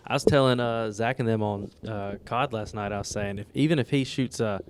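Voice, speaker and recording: A man's voice: on the low side, a little fast, slight background noise. Laughing Southern man telling a golf story. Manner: laughs often, animated storytelling. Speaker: podcast co-host. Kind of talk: casual chat.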